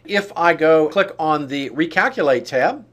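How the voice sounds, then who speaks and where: A man with a somewhat high-pitched voice presents a software demo. He talks through each on-screen action step by step, explaining as he goes in the manner of a tutorial. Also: animated, unhurried. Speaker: software demonstrator. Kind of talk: walkthrough tutorial narration of on-screen steps.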